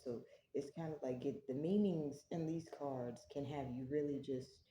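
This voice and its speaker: A woman with a very deep, dark and mellow voice leads a slow, prayerful tarot reading. She speaks softly in an echoey room with faint background noise. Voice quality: low voice. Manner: slow, prayerful, soft-spoken. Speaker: tarot reader. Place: home, early morning.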